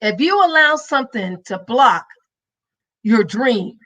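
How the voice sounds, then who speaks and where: A woman's voice: on the high side, lively intonation, takes it slowly, a bit bright. A woman podcast host and minister giving a live-streamed motivational talk, emphatic and preachy, with a faith-filled rhythm.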